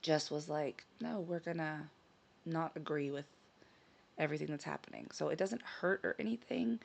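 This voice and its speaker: A woman hosting her own vlog in casual chat, a little hoarse from a scratchy throat, talking with frequent ums and self-corrections. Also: sits low, moderate pace. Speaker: channel host. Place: her home in Sicily.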